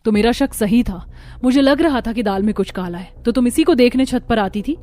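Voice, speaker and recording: A woman voicing a caring, protective young daughter-in-law in a cartoon, earnest and motherly, gently scolding. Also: fairly high pitch, brisk, close to an everyday voice. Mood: indignant, stern.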